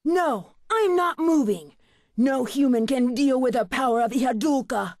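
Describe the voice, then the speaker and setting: A high, dry-recorded voice a resolute guardian in an anime game. She sounds firm and defiant, delivering warnings without yielding. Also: animated, unhurried, a little mellow, no room sound. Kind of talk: scripted anime game dub acting.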